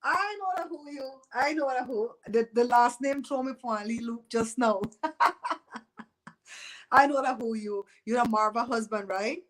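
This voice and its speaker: A woman's voice: on the high side, unhurried, a bit bright, rough recording. A woman in her fifties from Belize with a Belizean Creole accent, hosting a live show and chatting bluntly and gossipily with viewers, laughing often. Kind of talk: casual chat with live viewers.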